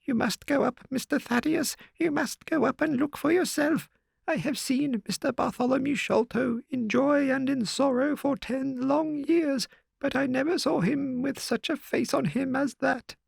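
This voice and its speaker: A man voicing a frightened elderly housekeeper woman, shrill and quavering. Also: moderate pace, gravelly, dry recording, full character voice. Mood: anxious. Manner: high quavering pleading.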